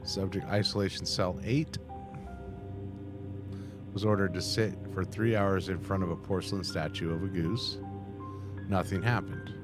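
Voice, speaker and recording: Low-voiced man reading aloud over music. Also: fairly even intonation, unhurried, long pauses. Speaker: reaction video host. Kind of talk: reading on-screen text aloud with casual commentary. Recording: music playing behind the voice.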